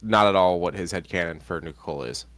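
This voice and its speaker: A man's voice: low voice, fast talker, a bit bright, no echo, studio quality. A sniffly man co-hosting a casual podcast chat, rambling with lots of ums. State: sniffly, congested. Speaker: podcast co-host.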